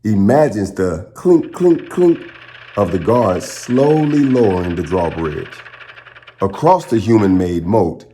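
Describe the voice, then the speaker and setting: A man hosting a children's storytime, speaking to young listeners in an encouraging, expressive way as he chats and reads aloud. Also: fairly low pitch, slow pace, slight background noise. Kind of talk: children's read-aloud and upbeat chat to young viewers.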